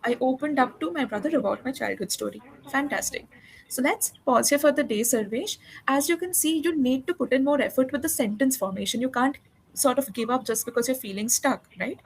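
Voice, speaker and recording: High-voiced encouraging woman tutor. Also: fairly quick, vocal fry, rough recording. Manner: encouraging, patient, explains grammar step by step. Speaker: English tutor. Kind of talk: one-on-one spoken English lesson.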